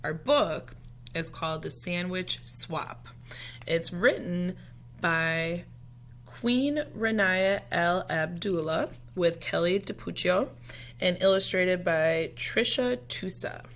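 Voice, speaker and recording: A woman reading a children's picture book aloud expressively, in measured phrases with pauses, as a storyteller speaking to young listeners. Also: on the low side, a bit bright.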